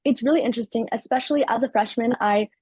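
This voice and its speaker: Fast-talking teenage girl, husky and dry. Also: on the high side, a little mellow, no room sound. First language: Turkish. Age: teens. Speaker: student company CEO. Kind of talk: interview answers.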